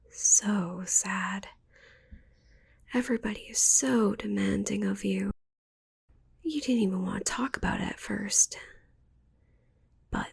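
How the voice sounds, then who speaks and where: A woman's voice: mid-range voice, heavily acted. A woman voicing a possessive, sweetly menacing witch in a cooing, condescending ASMR roleplay whisper. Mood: tender, coaxing. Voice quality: breathy, creaky whisper.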